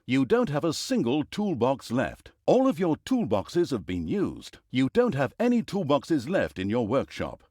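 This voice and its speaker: A wry male storyteller for a comedic fantasy dungeon game. His mid-pitched voice swoops widely between mock-grand drama and dry, teasing asides. The recording is close and completely dry. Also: a little mellow, no echo. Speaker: video game narrator. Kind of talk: theatrical scripted game narration.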